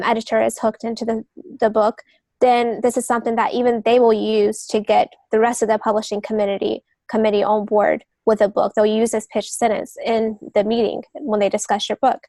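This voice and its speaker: A woman's voice: fairly high pitch, a little mellow, no room sound. A woman speaking as a guest expert on a podcast, explaining things in a conversational way. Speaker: guest expert, novelist and agent.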